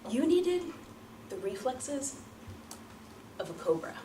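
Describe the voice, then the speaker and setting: A woman delivering a rehearsed storytelling speech as a public speaker, theatrical and emphatic, with deliberate repetition and pauses. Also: high-pitched, very animated. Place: room before an audience. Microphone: distant microphone. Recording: roomy, distant mic, light background noise.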